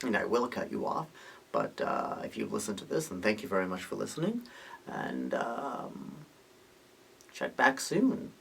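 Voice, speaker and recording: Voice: medium pitch, sing-song, unhurried, gravelly, long pauses, a bit bright, a little hiss or hum. A podcast host giving a casual, unscripted opinion monologue, rambling and thinking aloud with rhetorical questions. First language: Hungarian or English, multilingual.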